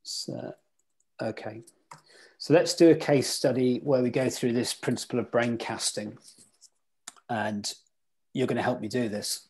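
A man's voice: medium pitch, steady tone, unhurried, pauses often, a little mellow, slightly rough. A man teaching sailing weather in an online club lecture over a video call, quizzing his listeners as he goes. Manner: interactive, quizzes the audience.

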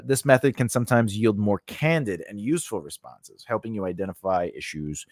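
A man's voice: medium pitch, no echo. A middle-aged man giving a conversational lesson as host, emphatic and speaking close into a studio microphone. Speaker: host of a screenwriting lesson channel. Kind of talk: conversational instructional talk to camera. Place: small indoor home studio with cloth backdrop. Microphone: close studio condenser mic on a stand.

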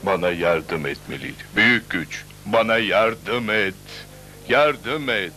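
Deep-voiced, animated little magical guide. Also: male voice, slow, soft background music, exaggerated voice. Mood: earnest, pleading. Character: small friendly magical creature, everyone's brother, guiding storyteller. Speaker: narrator and guide. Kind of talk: dubbed cartoon storytelling.

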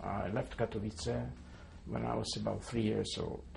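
A man's voice: lowish voice, little pitch movement, moderate pace, gravelly, darkish tone, a little hiss or hum. A man in his seventies, born in Poland, giving a careful oral history testimony and spelling names letter by letter. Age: 70s. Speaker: interviewee.

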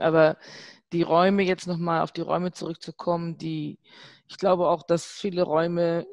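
A woman's voice: fairly low pitch, darkish tone, no room sound. A middle-aged woman in a casual discussion, tentative and rephrasing as she goes. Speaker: panelist, artist and professor.

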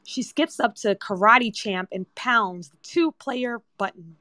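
A woman's voice: a little high, animated, a little slow, pushed and bright, no room sound, clean studio sound. A woman narrator reading screenplay directions as scripted narration. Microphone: remote audio chat app.

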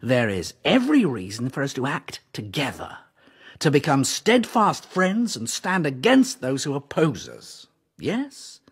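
An actor playing a wily medieval French king, breathy and insinuating, coaxing with questioning turns in an audio drama. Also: medium pitch, wildly swooping pitch, unhurried, heavily acted. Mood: persuasive, insinuating. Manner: insinuating, punctuates points with a questioning yes.

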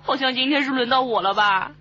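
A woman's voice: sits high, a little fast, pushed and bright. An actress with a Mainland Chinese Mandarin accent playing a cheeky, playful policewoman in a radio drama. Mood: playful, teasing. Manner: playful, coquettish, teasing. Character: perky, cheeky, fashion-minded female police detective. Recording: slightly muffled broadcast with faint noise.

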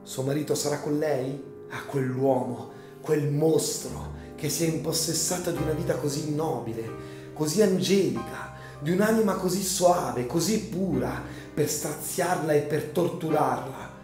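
Music plays under an echoey recording of an anguished young gentleman played by a male actor. His voice sits a little high for a man, emphatic and passionate in radio drama fashion. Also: unhurried, lightly acted. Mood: anxious.

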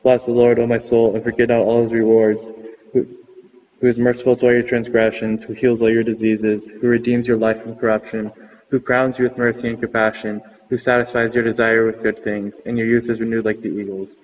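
Man reading psalms in a flat monotone. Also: medium pitch, fairly quick, a little hiss or hum. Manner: steady monotone chant-like reading. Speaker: reader of psalms. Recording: muffled recording with long reverb.

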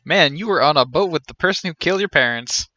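A man's voice: fairly high pitch, expressive, fast, sharp tone, dry recording, lo-fi sound. A man in his thirties giving casual, joking live commentary as he streams a game. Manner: joking, wry. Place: small indoor room, seated.

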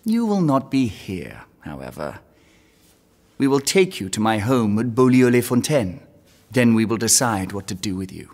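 A husky, smug medieval lord. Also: male voice, fairly low pitch, sing-song, normal speed, darkish tone, big-room sound. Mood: mocking scorn. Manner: suave, mock-courteous, taunting. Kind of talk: audio drama acting.

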